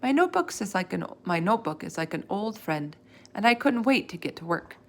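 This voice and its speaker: Animated woman reading a children's story. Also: medium pitch, fairly quick. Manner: animated, acts out dialogue. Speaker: storybook reader. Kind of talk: expressive children's read-aloud narration.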